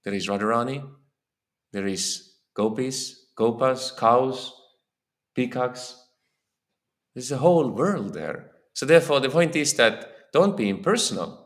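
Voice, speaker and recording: A man's voice: mid-range voice, lively intonation, a little slow. A man with an Estonian accent gives an informal religious lecture in a temple, speaking earnestly and often repeating words while he searches for phrasing. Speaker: lecturer on scripture. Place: temple hall.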